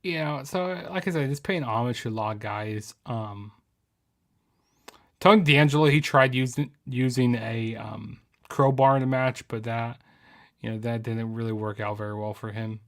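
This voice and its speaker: A man's voice: mid-range voice, normal speed. A man giving a casual, rambling spoken review of a wrestling show, full of ums, you-knows and restarts.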